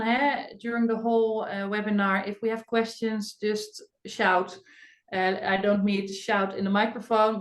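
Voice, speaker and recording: A woman's voice: medium pitch, a little hiss or hum. Chatty Dutch-accented woman in an echoey room. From Netherlands. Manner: chatty, with frequent fillers and non-native phrasing. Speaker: family doctor hosting a webinar. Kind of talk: casual webinar talk.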